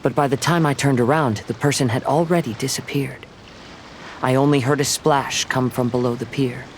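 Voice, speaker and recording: A man's voice: mid-range voice, fairly even intonation, a little hiss or hum, close to an everyday voice. Serene young wandering samurai played by a man. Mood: reflective. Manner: serene, polite, measured. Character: calm, gentle young male wandering samurai. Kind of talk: anime game voice acting.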